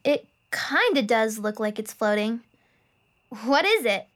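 An actress playing a lively, curious teenage girl in a family audio drama, blunt and expressive in a casual teen way. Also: on the high side, a little slow.

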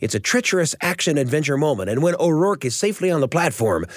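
A man hosting a radio storytelling show, narrating from a script in an animated way with dramatic emphasis. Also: fairly high pitch, fast, dry recording, clean studio sound.

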